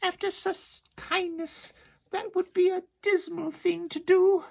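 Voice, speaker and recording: A man reciting a poem and voicing a chorus of fretful little oysters in a squeaky, anxious falsetto. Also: level tone, slow pace, vocal fry, exaggerated voice.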